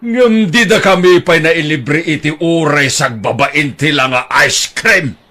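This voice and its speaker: A man's voice: on the high side, unhurried, pushed and bright. An actor playing a teasing older husband who gambles, bantering with his wife in an audio drama.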